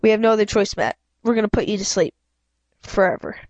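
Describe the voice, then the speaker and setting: A woman voicing a soft-spoken, calmly firm female reality police officer in a storybook, gentle and explanatory. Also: medium pitch, fast, no room sound, close to an everyday voice. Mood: regretful.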